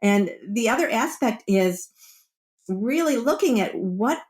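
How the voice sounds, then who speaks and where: A woman's voice: a little high, expressive, measured pace, a little mellow. Woman coach giving conversational advice. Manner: explanatory, acts out example quotes. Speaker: wellbeing coach, podcast guest.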